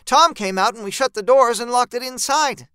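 A man voicing a blunt, resolute rural woman in a dark horror story, urgent and matter-of-fact. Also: extremely high pitch, normal speed, clear, resonant tone, dry recording, clean studio sound, voice pushed up. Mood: brisk, resolute. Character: gritty rural woman, blunt, resolute monster-fighting neighbor. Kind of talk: dark horror audiobook character voice.

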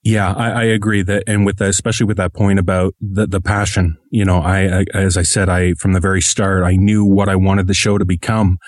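Male podcaster as interview guest: low-pitched, conversational, modest, recorded dry. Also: little pitch movement, fairly quick, hardly any pauses, dry recording. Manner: modest, self-deprecating.

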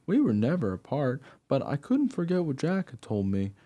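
Actor as an earnest mongrel dog, lively intonation. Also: male voice, mid-range voice, normal speed. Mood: earnest storytelling. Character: eager, earnest, naive mongrel dog telling his story. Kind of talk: first-person radio play narration.

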